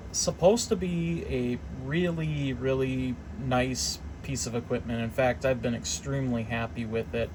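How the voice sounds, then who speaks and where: A man giving a casual, unscripted equipment demonstration, thinking aloud in a halting way as he explains. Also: medium pitch, rough recording. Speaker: hobbyist repairer demonstrating test equipment. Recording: clear steady hum of background noise.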